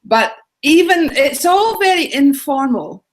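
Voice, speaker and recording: A woman's voice: high voice, expressive, unhurried. Chatty Scottish woman, middle-aged. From Scotland. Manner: chatty, rambling, repeats phrases, frequent ums and laughs. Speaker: guest, home exchange author. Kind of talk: casual interview talk.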